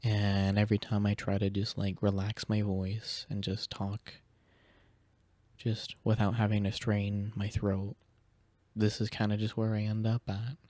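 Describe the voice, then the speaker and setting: Soft-spoken deep-voiced man, close mic. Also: unhurried, no echo. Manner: relaxed, rambling, slips into whispers. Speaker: ASMR creator. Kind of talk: soft-spoken ASMR chat. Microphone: sensitive close microphone.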